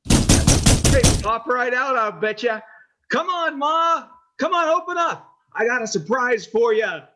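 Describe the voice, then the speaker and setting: An eager, stammering young husband, played by a man, very high for a man and swinging widely in pitch. He is a boyish mama's boy in an old-time radio play. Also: animated, takes it slowly, bright, forceful tone, heavily acted. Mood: eager, excited.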